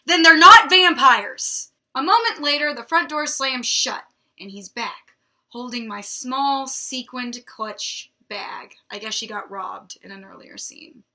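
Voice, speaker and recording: A woman's voice: high voice, takes it slowly, sharp tone. A young adult woman hosting a book show, reading a novel aloud and breaking off for wry, drily mocking commentary. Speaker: book reviewer host.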